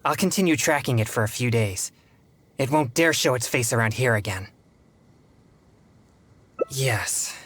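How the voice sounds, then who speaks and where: A man playing an earnest, polite teenage boy exorcist in a video game, speaking in a formal, measured way. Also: mid-range voice, expressive, fairly bright tone, dry recording. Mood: reassuring, earnest.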